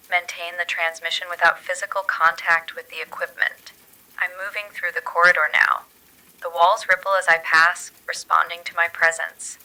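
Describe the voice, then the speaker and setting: Woman performing a transformed female scientist, lowish pitch, sharp bright tone, radio filter, measured solemn phrasing, awed dread, scripted horror broadcast. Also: rough recording. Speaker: narrator of an audio horror story. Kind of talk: scripted first-person horror radio monologue.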